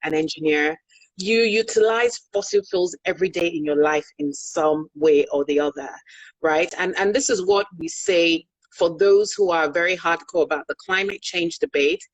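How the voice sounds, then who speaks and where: A woman's voice: on the low side, dry recording, low-quality recording. A middle-aged Nigerian woman, a Yoruba speaker, explaining energy business in an online interview in an articulate, pragmatic, emphatic way. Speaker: guest, energy consultant.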